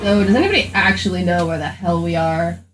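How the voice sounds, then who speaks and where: A woman's voice: on the low side, fast, soft background music. A woman talking casually as a player in a tabletop game. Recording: distant mic, faint noise.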